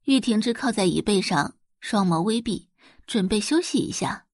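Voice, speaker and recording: Expressive, animated female narrator. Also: mid-range voice, normal speed, dry recording, clean studio sound. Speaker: audiobook narrator. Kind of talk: expressive scripted narration of a web novel.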